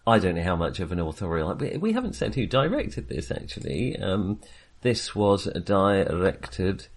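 Low-voiced man, lively pitch swings. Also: normal speed. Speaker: film podcast co-host. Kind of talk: casual film review chat.

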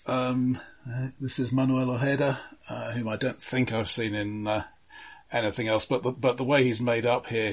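A man hosting a casual but analytical film discussion, speaking in a measured, dry and wordy way. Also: medium pitch. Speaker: film discussion podcast host.

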